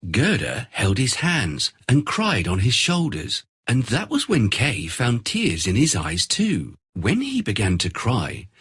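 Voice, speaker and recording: A man reading a scripted fairy tale as storyteller, in a dramatic, expressive manner. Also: lowish voice, slightly rough.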